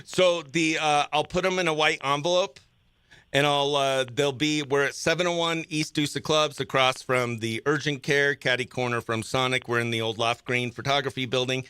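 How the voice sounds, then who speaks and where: A man's voice: medium pitch, steady tone, moderate pace, pushed and bright, smooth, no echo. A man hosting a radio morning show, chatting casually and upbeat with informal slang as he runs a contest. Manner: informal slangy, exclaims dude and oh heck. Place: small radio studio, close dry mic.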